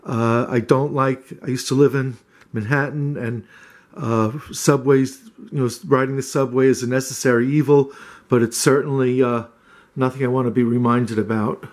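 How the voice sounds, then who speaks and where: Man, homeowner type, flat monotone delivery, halting with lots of "uh"s, casual camera-held house tour. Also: mid-range voice. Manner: hesitant, many "uh" fillers and restarts. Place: small wood-paneled cottage indoors.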